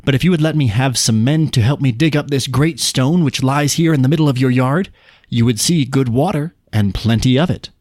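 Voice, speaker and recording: A man reads a forgiving, level-headed young storybook hero. He speaks plainly at a medium pitch, in a very dry recording. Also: a little fast, a little mellow, no echo, lightly acted. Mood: helpful. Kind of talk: folk tale character voice.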